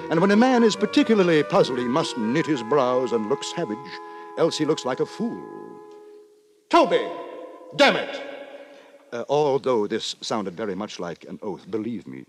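An actor playing a prim, moralising gentleman who narrates in wordy, formal, mock-solemn 1950s radio drama style. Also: mid-range voice, close to an everyday voice. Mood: puzzled, emphatic. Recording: 1950s radio, music behind.

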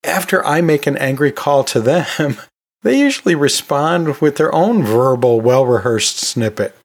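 A man's voice: on the high side, animated, a little slow. A man hosting a podcast, talking through teaching tips in a conversational, emphatic way with self-deprecating humor. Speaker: podcast host, educator.

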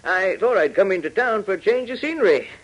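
Radio drama role: mild, fussy old man, hesitant and courteous, voice pitched on the high side, vintage broadcast. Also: expressive, a little fast, a bit bright, highs cut off. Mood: mild, chatty. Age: older. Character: elderly, mild, inquisitive male aircraft plant worker. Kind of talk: 1940s radio acting.

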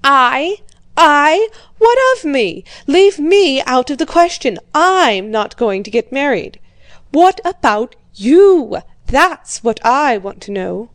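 A woman voicing an irascible, domineering old prince who shouts at his daughter. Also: slow pace, no room sound. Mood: angry. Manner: shouting, rising pitch.

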